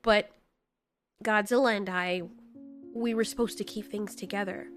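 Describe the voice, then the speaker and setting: A woman's voice: mid-range voice, steady tone, normal speed, soft background music, close to an everyday voice. A woman voicing an earnest, anxious female giant moth monster who pleads and exclaims, in an audio story. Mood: troubled.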